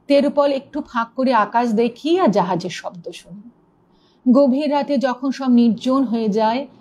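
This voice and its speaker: A woman storyteller reading a ghost story aloud in an expressive, suspenseful narrating manner. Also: on the high side, highs cut off.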